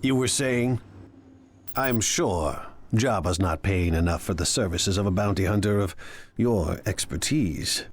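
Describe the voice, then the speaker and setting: A man's voice: deep voice, a little slow. A man playing a ruthless, ambitious crime boss in a video game, speaking in a smug, imperious, commanding way. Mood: smug, threatening. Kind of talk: cinematic video game acting.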